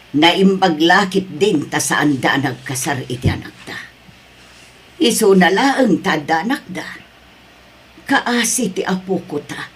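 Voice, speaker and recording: A woman playing a stern, worried grandmother in an Ilocano drama, with a husky voice and Ilocano accent. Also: on the low side, heavily acted. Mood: dismayed. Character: stern, worried grandmother rebuking her son-in-law. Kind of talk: Ilocano radio-style drama acting.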